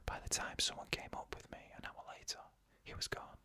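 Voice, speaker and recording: A bright, close whispered storyteller. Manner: soft unvoiced whisper, measured pauses. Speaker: ASMR storyteller. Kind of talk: whispered ASMR narration of scary stories.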